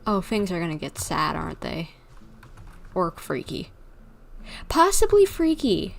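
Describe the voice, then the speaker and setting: A woman's voice: on the low side, wildly swooping pitch, unhurried, gravelly, slight background noise. A young adult woman giving casual, playful, rambling commentary as she livestreams a video game. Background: faint game music.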